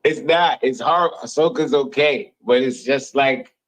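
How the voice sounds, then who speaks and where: A man chatting casually as an interview guest, animated and opinionated, using slang and repeating phrases for emphasis. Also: medium pitch, moderate pace, low-quality recording. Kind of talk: casual chat, storytelling. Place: radio station studio.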